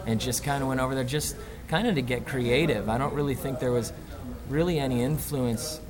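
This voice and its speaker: A man's voice: mid-range voice, a little fast, crowd noise. A man who plays in a band, answering interview questions in a loose, rambling way full of fillers and "you know". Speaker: band musician.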